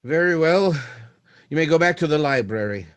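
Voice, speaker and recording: A man's voice: fairly high pitch, expressive, normal speed, clean tone, no room sound, lo-fi sound, close to an everyday voice. A man playing an uneasy scientist in a radio drama, formal and hesitant, by turns deferential and commanding.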